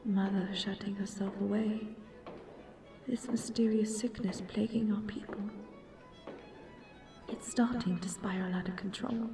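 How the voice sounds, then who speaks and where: A woman playing a gentle, troubled young princess in a fantasy romance audio roleplay, speaking softly and intimately in courtly, old-fashioned phrasing. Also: mid-range voice, natural voice. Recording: background music.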